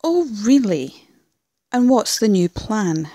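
A woman's voice: mid-range voice, very animated, takes it slowly, dark tone, no echo, studio quality. A woman playing a cool, disdainful criminal operative in an audio drama, speaking in a clipped way. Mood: scornful, cool.

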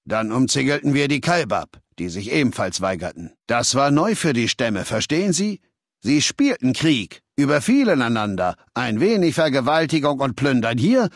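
A ruthless male game warlord, middle-aged, coldly arrogant, lectures like he owns you, mid pitch, super dry sound. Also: very animated, gravelly, no room sound. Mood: lecturing, proud. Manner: measured, authoritative, lecturing, coldly matter-of-fact. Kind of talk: scripted video game dialogue in German.

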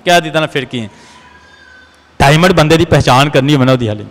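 A man's voice: fairly high pitch, fairly quick. A man in his thirties with a Pakistani Punjabi accent preaches a sermon emphatically as a priest, repeating phrases for effect. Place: large church, indoors at a pulpit. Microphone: gooseneck pulpit microphone. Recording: reverberant church hall.

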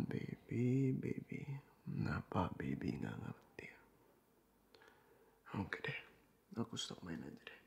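A young man in a boyfriend roleplay, talking softly and coaxingly in a half-whisper, dropping English phrases into his speech. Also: low-pitched, big pitch swings, slow pace, gravelly, pauses often.

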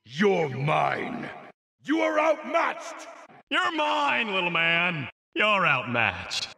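A man playing a domineering armored warrior, booming contemptuous taunts in a video game. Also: wildly swooping pitch, very slow, creaky, sharp tone, reverberant, raised voice, long reverb tail, exaggerated voice. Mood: taunting. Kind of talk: video game battle voice lines.

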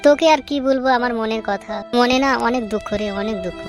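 A lovelorn, stubborn young cartoon villager acted by a woman speaks quickly in a rather high voice. The carries coarse, slangy comic banter, with faint music behind it. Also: close to an everyday voice. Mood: sorrowful.

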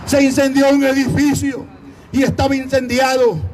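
A man's voice: very high voice, flat delivery, moderate pace, darkish tone, slight background noise. A man with a Latin American Spanish accent preaching an evangelistic sermon in a fervent, declamatory, exhorting manner.

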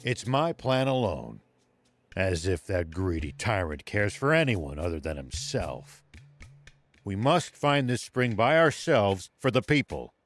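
An actor playing a stern, principled old sheriff in a video game cutscene, speaking formally and with resolve. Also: male voice, lowish voice, big pitch swings, unhurried, soft background music. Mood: resolute.

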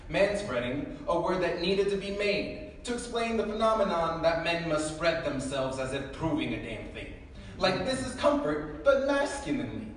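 A young man performing spoken word poetry with emphatic, rhythmic delivery, sprinkling in Spanish phrases. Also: on the high side. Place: bare concrete-block gallery with a small audience, indoors. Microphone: handheld camera or phone in the audience, far from speaker. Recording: very echoey room, mic'd from far off, noisy.

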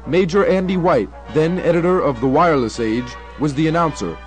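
Higher-pitched American documentary narrator. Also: male voice, fairly quick, soft background music. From New York, United States. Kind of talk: scripted 1970 radio documentary narration.

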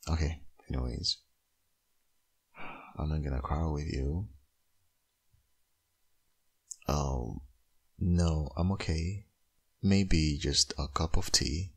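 Man acting as deep, gravelly, sleepy caring dad. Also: slow pace, long pauses, full character voice. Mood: gentle, concerned. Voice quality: low, gravelly, husky. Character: caring young father, sleepy ex-boyfriend, gentle and soothing. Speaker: ASMR roleplay performer. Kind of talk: boyfriend ASMR audio roleplay.

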